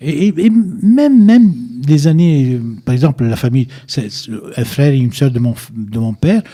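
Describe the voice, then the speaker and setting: A man's voice: a little high, normal speed, warm tone. An older man from Egypt, a radio interview guest who repeats words as he searches for them and insists firmly on his points. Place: radio studio. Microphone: studio microphone, at times too far away.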